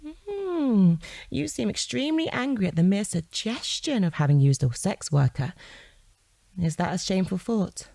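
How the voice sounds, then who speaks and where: Mellow, probing female detective. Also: medium pitch, big pitch swings, normal speed, vocal fry, dry recording. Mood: cool, provoking. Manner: probing, controlled, insistent. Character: shrewd, composed female police detective interrogating a suspect. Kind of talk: audio drama dialogue.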